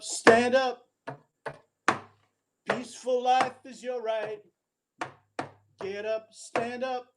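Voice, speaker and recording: High female singer, very noisy recording. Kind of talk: live reggae song performance.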